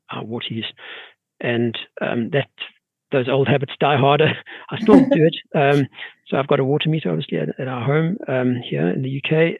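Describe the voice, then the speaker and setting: A middle-aged man with a South African accent speaks in a measured, halting way, pausing and restarting as he explains. His voice is gravelly and husky. It comes over a dry, tinny, phone-like remote line. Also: medium pitch, dry recording. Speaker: guest horticulture expert. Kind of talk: casual podcast interview.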